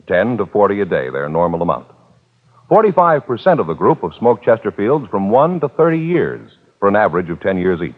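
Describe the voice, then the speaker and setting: A man announcing an old radio sponsor ad in an emphatic, salesy delivery. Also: fairly low pitch. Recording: tinny old radio, reverberant studio.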